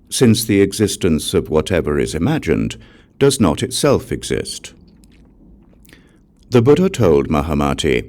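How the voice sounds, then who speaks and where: Very deep-voiced man reading scripture. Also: a little mellow. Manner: measured, deliberate reading with formal phrasing. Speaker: audiobook narrator. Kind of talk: scripted narration of a Buddhist scripture.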